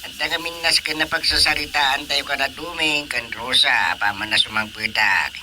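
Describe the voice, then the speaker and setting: Man doing playful ilocano husband for radio drama, really piercing bright voice, faint noise behind. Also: medium pitch, normal speed. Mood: easygoing.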